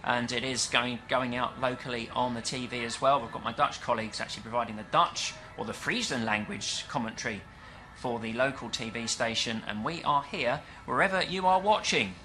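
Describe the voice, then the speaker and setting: A British man giving live commentary on a stock car race, chatty and explanatory, pausing often with fillers. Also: medium pitch, sharp tone. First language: English. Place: at a dirt track event.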